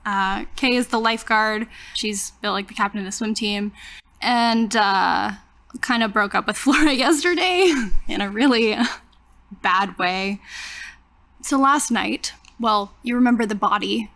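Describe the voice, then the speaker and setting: A woman casually improvising as a player in a tabletop roleplaying game, speaking haltingly. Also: medium pitch, fairly bright tone.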